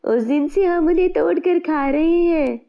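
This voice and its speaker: Sweet sky fairy for a cartoon tale, female performer: high-pitched, courteous, gently inquisitive. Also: a little slow, creaky, muffled recording, full character voice. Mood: curious.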